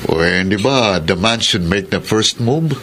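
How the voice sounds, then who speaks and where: An actor playing a teasing friend and confidant who gives advice in a radio drama, with a Filipino accent. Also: male voice, on the low side, expressive, measured pace, fairly bright tone, a little hiss or hum. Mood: teasing, curious.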